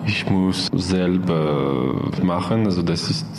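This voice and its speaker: Low-voiced young Frenchman speaking learner's German. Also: slow, a little mellow, slight background noise. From France. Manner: searches for words, simple learner phrasing. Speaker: interviewee, European volunteer. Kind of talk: conversational interview answers in learner's German.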